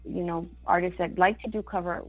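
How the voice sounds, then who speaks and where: A woman's voice: on the low side, steady tone, a little fast, phone-quality sound, soft background music. A woman from Mexico, a singer-songwriter, talking casually in an interview, with many fillers and Spanish words mixed in.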